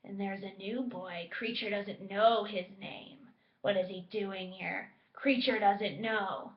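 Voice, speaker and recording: Bitter old male house elf, hoarse and grumbling, read by a woman, slightly dull audio. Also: fairly even intonation, echoey room. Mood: spiteful muttering. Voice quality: hoarse, deep muttering. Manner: slow, grumbling, spiteful.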